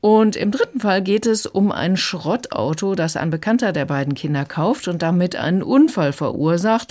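Woman critic chatting about audiobooks on radio, low voice, standard german, semi-scripted. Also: a little mellow. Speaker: audiobook reviewer in studio conversation. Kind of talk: prepared conversational radio review.

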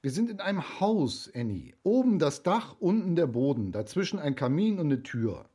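A man's voice: a little high, sing-song, normal speed, warm tone, slightly rough, dry recording, clean studio sound. An actor playing a cautious, anxious foster father in a children's radio play, hesitant and doubtful. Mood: baffled, sceptical. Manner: hesitant, doubtful, often humming in thought.

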